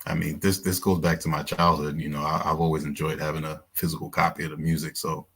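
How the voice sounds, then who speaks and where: A man's voice: a bass voice, little pitch movement, fast, a bit bright, slightly rough. A man from New York City hosting a podcast, asking easygoing, encouraging interview questions. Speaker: podcast host. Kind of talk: casual interview.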